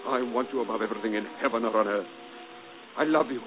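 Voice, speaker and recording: A man playing a brooding, ardent gentleman in a 1940s radio drama, pleading and urgent, rising to impassioned outbursts. The sound is muffled and hissy, like an old broadcast. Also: on the low side, fast talker, a crowd in the background, close to an everyday voice.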